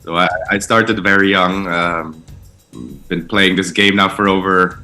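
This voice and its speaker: A man in his thirties, raised in Switzerland, answering interview questions about ice hockey in a relaxed, easygoing way. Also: low-pitched, measured pace, faint music behind. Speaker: guest ice hockey player.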